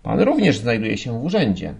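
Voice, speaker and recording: A man's voice: medium pitch, sing-song, normal speed, creaky, darkish tone, husky, full character voice. A man voicing an irritated, defiant young student protesting indignantly in an audiobook. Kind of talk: audiobook character acting.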